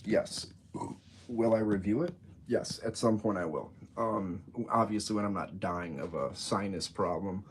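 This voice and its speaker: A young man talks on a home livestream in a very low voice, his nose stuffed up from a cold. He rambles and jokes loosely, drifting off topic. Faint clicks sound behind him. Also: unhurried, slight background noise. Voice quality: nasal, stuffy. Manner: rambling, joking, easily sidetracked. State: congested from a cold. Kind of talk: casual livestream chat.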